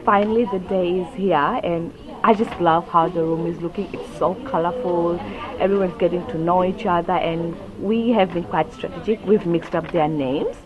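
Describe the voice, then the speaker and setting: A woman's voice: lowish voice, steady tone, moderate pace, dull audio. An upbeat woman giving a casual, enthusiastic interview as an event organiser. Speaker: workshop organiser being interviewed. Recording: crowd chatter behind the voice. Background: crowd chatter.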